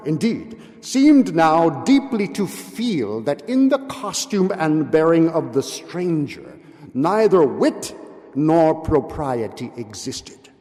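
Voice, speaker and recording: A man giving a dramatic, theatrical reading of gothic horror, with drawn-out words, pauses and hushed drops. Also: on the high side. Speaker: literary reader. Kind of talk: dramatic literary recitation of a gothic horror tale. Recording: long hall-like reverb.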